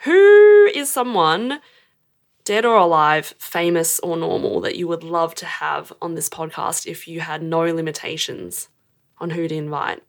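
A woman leads a casual interview with a playful, teasing edge. Her voice sits low and has a creaky fry, and her questions come across light and friendly. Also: animated, measured pace.

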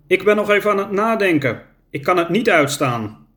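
A man's voice: high voice, lively intonation, normal speed, a bit bright, voice pushed up. A man reading a storybook and voicing a cautious elderly man. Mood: anxious. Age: older. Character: elderly man, his brother's careful partner.

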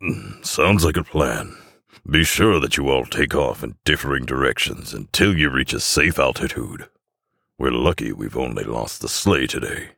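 Man voicing a deep, weary, gravelly Santa. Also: animated, fairly bright tone, no room sound, clean studio sound, exaggerated voice. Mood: grave, resolute. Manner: slow, murmuring, grave. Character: weary injured Santa, commanding old man.

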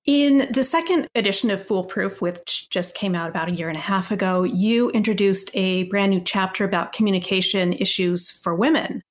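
A woman's voice: mid-range voice, moderate pace, hardly any pauses. Woman hosting a podcast interview. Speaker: podcast host. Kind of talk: interview questions.

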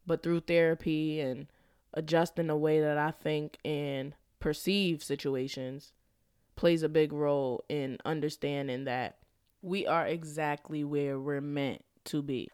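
A woman's voice: deep voice, fairly even intonation, unhurried, darkish tone, clean tone, dry recording, studio quality. A young woman hosting her own podcast, talking casually in slang and laughing often, a little stuffy from a cold. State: slightly congested. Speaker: podcast host; school counselor. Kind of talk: casual solo podcast monologue.

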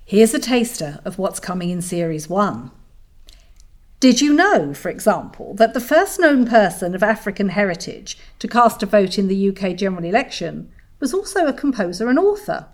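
Woman host, lively pitch movement. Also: moderate pace. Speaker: podcast host. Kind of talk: scripted podcast trailer narration.

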